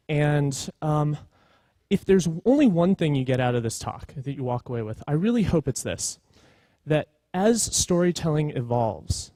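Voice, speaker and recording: A man in his thirties speaking at a conference in a conversational way, pausing deliberately for emphasis. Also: on the high side, darkish tone, no echo. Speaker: conference speaker, storytelling professional. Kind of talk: informal conference talk.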